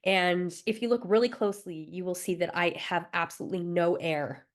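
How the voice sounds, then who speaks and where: A woman's voice: fairly low pitch, steady tone, a little fast. A young woman from Alberta presenting a recorded wellbeing workshop, coaching listeners in an encouraging way with light laughs and fillers. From Alberta, Canada.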